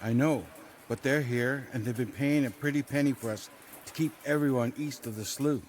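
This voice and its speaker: A man's voice: medium pitch, moderate pace, lightly acted. An actor in an audio drama playing a cautious man who works as a smuggler and wilderness guide. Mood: defensive. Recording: clear background noise.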